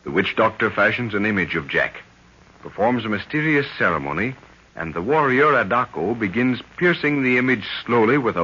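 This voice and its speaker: An actor playing a dramatic storyteller, narrating a 1930s radio jungle serial in theatrical, portentous, punchy phrases. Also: male voice, mid-range voice, pushed and bright, husky, heavily acted. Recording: muffled 1930s radio recording.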